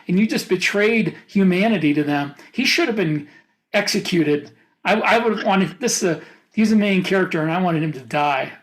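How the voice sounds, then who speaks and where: A man co-hosting a podcast, discussing books casually and giving blunt opinions in halting, restarted phrases. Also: sits high, rough recording. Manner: opinionated, halting mid-thought. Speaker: podcast co-host.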